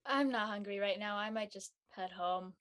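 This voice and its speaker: A woman's voice: medium pitch, normal speed, a bit bright, lightly acted. A woman playing a practical, slightly wistful college-bound young woman in casual scripted dialogue.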